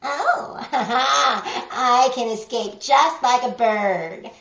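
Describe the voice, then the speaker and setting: A woman voicing a greedy, fussy little gray devil from a storybook, whining and demanding with drawn-out words. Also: fairly high pitch, creaky, bright, forceful tone, voice pushed up, full character voice. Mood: gleeful. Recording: roomy, dull lo-fi web video.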